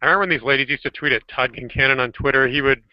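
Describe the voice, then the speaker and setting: Regular guy chatting in an online hangout, middle pitch, dry muffled call sound. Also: rapid-fire, no room sound. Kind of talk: casual group chat. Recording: dull lo-fi internet call audio.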